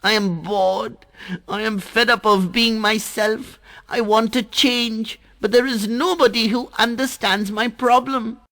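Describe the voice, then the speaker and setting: A man's voice: high voice, steady tone, measured pace, sharp tone, pitched above the performer's own voice, heavily acted. A man voicing a restless, bored little boy who is a drop of water, talking in a whiny, pleading way.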